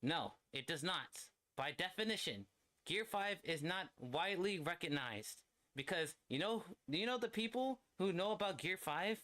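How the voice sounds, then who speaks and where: A man's voice: sits high, animated, normal speed, sharp tone. A man in casual gaming banter, opinionated and slangy, swearing freely as he makes his point. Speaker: streamer.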